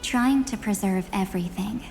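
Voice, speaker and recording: A woman voicing a haughty, world-weary antagonist in a video game, measured and condescending. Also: mid-range voice, creaky, darkish tone, faint music behind, close to an everyday voice. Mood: dismissive.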